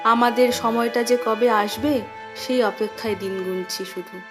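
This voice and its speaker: A woman's voice: on the high side, steady tone, moderate pace, music in the background, natural voice. A woman voicing a sorrowful, resigned village woman in a children's cartoon.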